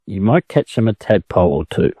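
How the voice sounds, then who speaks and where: A man's voice: fairly low pitch, very animated, brisk, mellow, husky, no echo, clean studio sound. An Australian man voicing a sociable, mildly strict mother duck in a storybook reading. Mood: mildly scolding. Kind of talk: storybook character voice.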